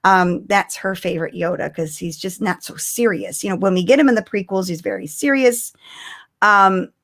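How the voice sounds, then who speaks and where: A middle-aged woman co-hosting a live podcast, chatting casually with an animated, giggly and excitable manner. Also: mid-range voice, moderate pace, gravelly. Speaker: podcast co-host. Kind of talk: casual fan chat on a live stream.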